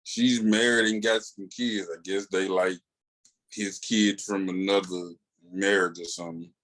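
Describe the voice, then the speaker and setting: A man's voice: sits low, fairly even intonation, measured pace, fairly bright tone, low-quality recording. A man with a Southern US accent chatting casually as a comics podcast co-host, telling stories colloquially and acting out quoted dialogue. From Tennessee, rural southern United States. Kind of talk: casual animated chat.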